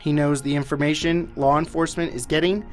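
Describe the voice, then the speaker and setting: A man's voice: on the high side, steady tone, brisk, faint music behind. An American man narrating a scripted television news report as a reporter.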